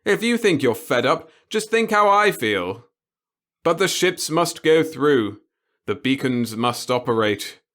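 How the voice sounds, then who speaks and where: Give the voice of a tyrannical old male boss from a sci-fi audiobook, acted out by a male narrator. He pitches his speech up and bears down on each phrase. He gloats and lectures with open glee, browbeating whoever has to listen. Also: very animated, a little slow, fairly bright tone. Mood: exasperated, browbeating.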